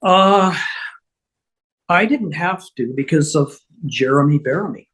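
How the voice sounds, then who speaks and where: A woman reading the part of a flustered, apologetic male otherworldly schemer, husky and halting as she stammers through explanations. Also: low-pitched, lively intonation, exaggerated voice. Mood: flustered explaining. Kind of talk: amateur script table read.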